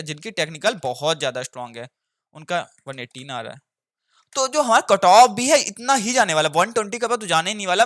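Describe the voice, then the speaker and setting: A man's voice: fairly high pitch, sharp tone, no echo, studio quality. A young man with an Indian accent giving an informal explainer to viewers, repeating points for emphasis and mixing two languages as he goes. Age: young adult. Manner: repeats points for emphasis, switches between Hindi and English. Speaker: exam advice YouTuber and candidate.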